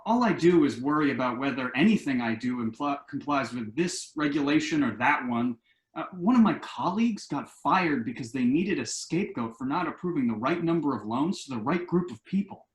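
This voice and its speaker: A man's voice: medium pitch, expressive, normal speed, close to an everyday voice. A man acting a self-assured, glib son who earnestly explains his schemes in a table-read play, recorded in an echoing room. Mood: hesitant, fed up. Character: glib, enterprising grown son pitching shady business schemes. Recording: roomy, echoing home recording.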